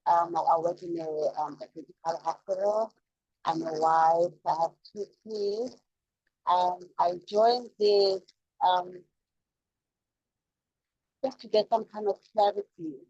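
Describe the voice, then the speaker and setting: Woman, fifties, from South Africa, husky, friendly and hesitant, dull dry call line. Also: lowish voice, steady tone, takes it slowly, long pauses, darkish tone, no echo. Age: 50s. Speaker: nurse, participant. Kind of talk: self-introduction on a video call.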